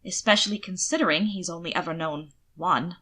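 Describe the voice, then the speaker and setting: A loyal manservant in a period storybook, voiced by a woman. The tone is bright, tactful and good-humoured, politely familiar with the master. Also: fairly low pitch, fairly quick, breathy edge. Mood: wry.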